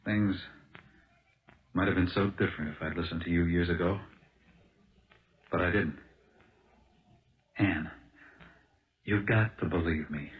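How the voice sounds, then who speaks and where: A man acting in a 1970s radio drama as an impulsive, fast-talking American car salesman, earnest and halting as he protests his innocence. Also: low voice. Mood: regretful, pleading. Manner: halting, earnest, restarts phrases. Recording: dull lo-fi old radio broadcast.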